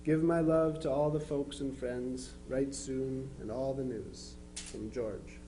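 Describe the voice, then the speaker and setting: A man's voice: medium pitch, steady tone, slow pace, warm tone, slight background noise, close to an everyday voice, low-quality recording. A man playing a dutiful young Civil War soldier, reading his letters home aloud. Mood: earnest. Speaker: amateur reader in a historical society dramatization.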